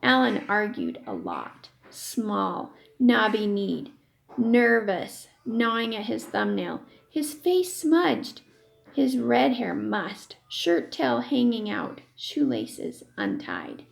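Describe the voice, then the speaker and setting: A woman reading a children's story aloud in an expressive, emphatic, deliberate way. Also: medium pitch, vocal fry. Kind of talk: expressive read-aloud narration with acted dialogue.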